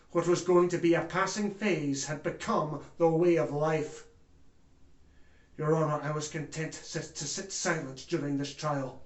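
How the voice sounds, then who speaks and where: In an echoey room, a man acts out an anguished former German judge giving courtroom testimony. His voice sits fairly high for a man. He speaks in grave, deliberate, theatrical oratory, weighted with remorse. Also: natural voice. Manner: grave, deliberate oratory with swells from hushed to loud. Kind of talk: theatrical dramatic monologue, courtroom speech.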